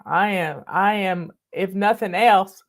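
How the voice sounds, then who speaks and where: A woman's voice: medium pitch, slow pace. Folksy older Southern woman telling stories. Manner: folksy, chatty, bossy. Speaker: online host. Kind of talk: casual storytelling chat. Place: home in the mountains.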